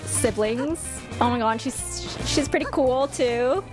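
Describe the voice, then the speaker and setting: A woman giving casual live-stream reaction commentary in an expressive, gushing, slangy fan style. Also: slow, over music. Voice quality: high-pitched voice. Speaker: streamer hosting a ranking of game character trailers.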